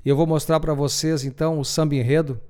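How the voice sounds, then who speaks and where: A man's voice: fairly high pitch, steady tone, quick pace, darkish tone. A man with a southern Brazilian accent presenting songs in a conversational, storytelling way as a musician and host.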